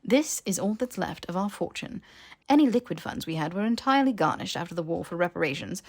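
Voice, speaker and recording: A woman's voice: lowish voice, lively intonation, fairly quick, a little mellow. Woman playing a haughty, bitter young male wizard. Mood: incredulous, bitter. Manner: scornful, emphatic, theatrical. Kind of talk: character voice in fan fiction audiobook.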